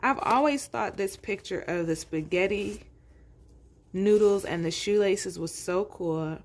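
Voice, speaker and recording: A woman's voice: medium pitch, darkish tone. Woman reading a children's story aloud. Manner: addresses young listeners directly, conversational. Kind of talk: read-aloud narration with chatty asides.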